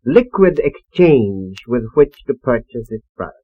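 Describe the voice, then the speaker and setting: Middle-aged male expert, medium pitch, deliberate and formal, muffled 78 rpm disc sound. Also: very animated. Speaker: foreign trade expert with long experience. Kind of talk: panel interview answers.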